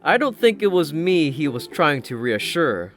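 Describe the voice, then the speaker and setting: A male narrator reads a first-person story in a slightly high voice. Music plays clearly behind his scripted delivery. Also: very animated, moderate pace. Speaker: audiobook narrator. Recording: narration over prominent music.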